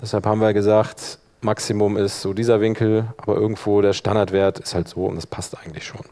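A man leading a workshop, explaining informally and thinking aloud while he operates the software. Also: lowish voice, flat delivery, brisk. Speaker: workshop instructor.

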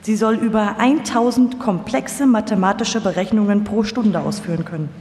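A woman's voice: medium pitch, moderate pace, darkish tone. A woman delivering scripted narration as a narrator in a live documentary radio feature. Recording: echoey hall, live stage performance.

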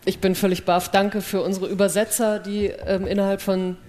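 A woman's voice: mid-range voice, little pitch movement, normal speed, darkish tone. A woman performer giving casual thanks at a live stage event.

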